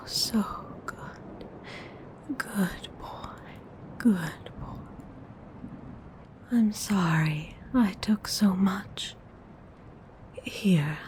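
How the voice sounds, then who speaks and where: Slow, whispering female vampire noble, acted by a woman. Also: mid-range voice, a little mellow, slight background noise. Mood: sated, tender. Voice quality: breathy whisper. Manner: soothing, slow, intimate coaxing. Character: tender, possessive female vampire noble soothing prey. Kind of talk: whispered ASMR roleplay.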